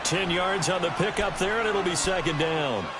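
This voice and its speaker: Male actor as football play-by-play announcer, American accent, slightly high voice, upbeat, clipped punchy delivery, video game commentary, very noisy stadium backdrop. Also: normal speed, lightly acted. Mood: brisk, focused. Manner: punchy, clipped phrases, excited on big plays. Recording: game audio under loud stadium noise.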